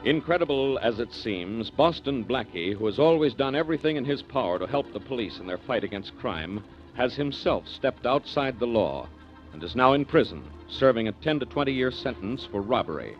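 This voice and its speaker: A man narrating as a radio announcer in a measured, dramatic 1940s style. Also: mid-range voice, animated. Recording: dull vintage radio recording. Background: music.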